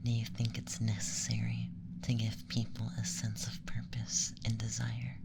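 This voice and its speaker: A performer voicing an aloof, teasing alien femboy interrogator in a slow, deliberate, soft ASMR whisper. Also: mid-range voice, completely flat delivery, creaky, very noisy. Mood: clinical, measured.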